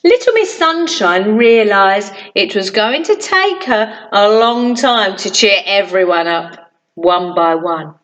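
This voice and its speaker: A woman reading a children's story aloud in an expressive, deliberate way for young listeners. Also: medium pitch, low-quality recording. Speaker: primary school storytime reader.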